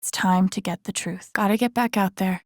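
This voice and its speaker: Casting: actress for wry, grieving young woman, game drama lead; soft, gravelly fry, introspective muttering, close dry sound. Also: fairly even intonation, moderate pace, no room sound, lightly acted. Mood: quietly determined. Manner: low-key, introspective, muttering to herself. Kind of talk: naturalistic game character lines, inner-monologue asides.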